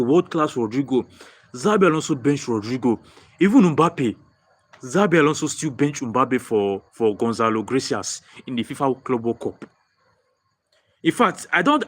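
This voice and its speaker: A young Nigerian man with a Nigerian accent hosting a live football fan stream, answering viewer comments and repeating his points with many restarts. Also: medium pitch. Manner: excitable, repeats phrases, frequent restarts. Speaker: football livestream host.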